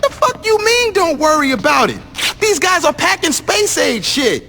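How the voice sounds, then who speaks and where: A man voicing an excitable, exasperated street gangster in a video game, talking in slangy, incredulous complaints. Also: very high-pitched, normal speed, creaky, a little hiss or hum, full character voice. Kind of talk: video game cutscene acting.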